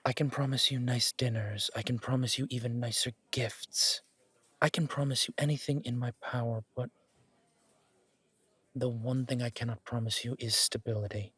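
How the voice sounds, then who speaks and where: A man playing an earnest, protective, wry mafia boss on a first date in a romantic audio roleplay, speaking in a measured, intimate way. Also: mid-range voice, fairly even intonation, a bit bright, slightly rough, dry recording. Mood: earnest, grave.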